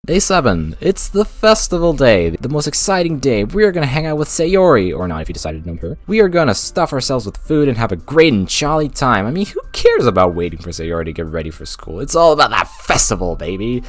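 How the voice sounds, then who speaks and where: A man's voice: mid-range voice, very animated, fairly quick, few pauses. A man narrating a scripted, jokey video game review with sarcastic, playful asides.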